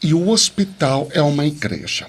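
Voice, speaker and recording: A man with a Brazilian accent and a fairly high-pitched voice tells his own story as a podcast guest. He is an expressive storyteller who asks rhetorical questions and voices bits of dialogue as he goes. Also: moderate pace, husky. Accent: Brazilian Portuguese. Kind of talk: personal storytelling in a podcast interview.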